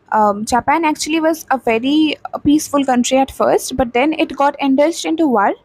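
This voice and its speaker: A woman's voice: high voice, darkish tone, dry recording, poor audio. A young woman with an Indian English accent, teaching a textbook chapter and repeating key points with frequent "okay" and "uh". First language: not Hindi.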